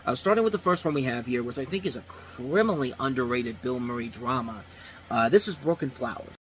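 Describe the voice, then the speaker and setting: A man's voice: mid-range voice, expressive, brisk, a little hiss or hum, low-quality recording. Thirty-something man rambling through a review. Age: 30s. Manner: rambling, restarts, repeats phrases. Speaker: movie reviewer host. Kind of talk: casual unscripted review talk.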